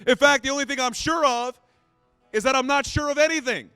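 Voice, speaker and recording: Pastor, late 30s, high clear tenor, dry close mic, chatty and self-deprecating. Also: male voice, brisk, bright, forceful tone, no room sound, soft background music. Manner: conversational, self-deprecating, repeats phrases. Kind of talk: sermon.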